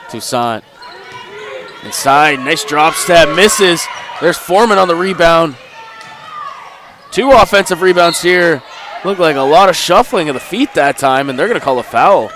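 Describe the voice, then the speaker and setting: Male play-by-play caller, sniffly, bursts of shouting, noisy gym feed. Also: fairly high pitch. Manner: rises to excited shouts on baskets. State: sniffly, under the weather. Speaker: play-by-play commentator. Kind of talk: live basketball play-by-play.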